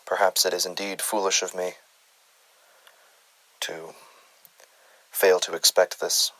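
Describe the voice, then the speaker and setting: A man's voice: low-pitched, flat delivery, takes it slowly, a bit bright, dry recording, exaggerated voice, poor audio. A man voicing a brooding male doctor who speaks in a formal, wordy, self-searching confession about a strange case. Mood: grave, confessional.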